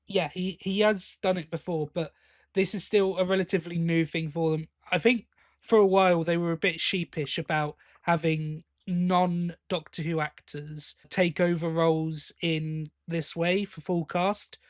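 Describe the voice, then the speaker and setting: A man's voice: fairly high pitch, fairly even intonation, measured pace, no room sound, clean studio sound. A man co-hosting a podcast, talking casually and enthusiastically as a fan. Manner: enthusiastic, appreciative. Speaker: podcast co-host.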